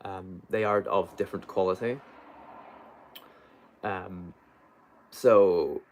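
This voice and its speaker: Low-voiced man rambling casually about books. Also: fairly even intonation, takes it slowly, darkish tone, slight background noise. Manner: rambling, hesitant, trails off mid-thought. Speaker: book vlogger hosting a livestream. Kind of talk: unscripted casual book chat.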